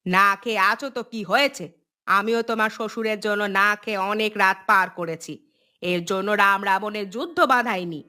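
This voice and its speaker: A woman's voice: mid-range voice, fairly quick, sharp tone, close to an everyday voice. A woman voicing a scolding, scheming older village mother-in-law in a moral-tale cartoon. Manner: grumbling, scolding.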